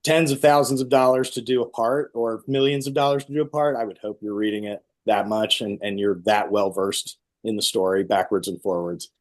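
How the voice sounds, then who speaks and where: A man who teaches theater acting, explaining in a conversational, animated and emphatic way during an interview. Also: on the low side, brisk, few pauses.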